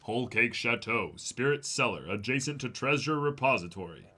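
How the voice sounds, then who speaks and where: Male stream co-host, mid-pitched, bright and forceful, lively swings, casual banter and narration. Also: moderate pace. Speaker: co-host of a manga reading stream.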